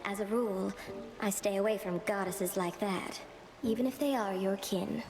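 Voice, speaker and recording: A woman voicing a lonely, guarded recluse in a video game, gentle, self-deprecating and hesitant. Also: mid-range voice, normal speed, lightly acted. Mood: guarded, wry. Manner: hesitant, wistful.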